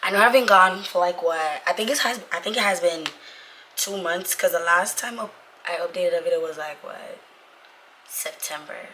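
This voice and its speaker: A young woman with a raspy voice, worn from being sick, chatting casually and informally to her viewers and repeating phrases for emphasis. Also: lowish voice, normal speed, noisy background. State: sick, voice going away. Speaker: vlogger, Navy corpsman trainee.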